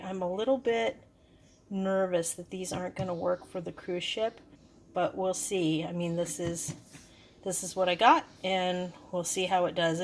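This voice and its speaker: A woman giving a casual, hands-on product review, explaining things in a hesitant, unscripted way. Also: fairly low pitch. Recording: clearly noisy background.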